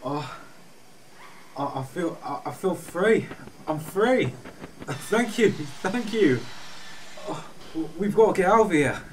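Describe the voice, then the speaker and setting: A man's voice: fairly high pitch, animated, slow pace, long pauses, a little hiss or hum. A man playing a mind-controlled commander in a fan audio drama. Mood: shaken relief. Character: male mind-controlled commander, hostile, then shaken and freed. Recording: distant mic under music.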